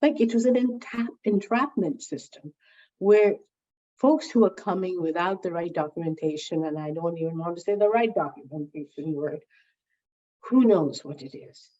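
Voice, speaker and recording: Woman interviewee, lower voice, mellow tone, lively storyteller, slightly dull recording. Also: normal speed. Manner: animated storyteller, repeats words while gathering thoughts. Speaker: interviewee, founding member recalling early days.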